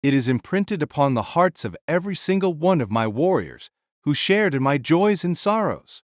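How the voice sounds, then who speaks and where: A proud warrior king of legend, acted by a man, speaks in grand proclamations. The voice sits a little high for a man and is recorded very dry. He is commanding and theatrical, in audio drama style. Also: big pitch swings, moderate pace, no room sound.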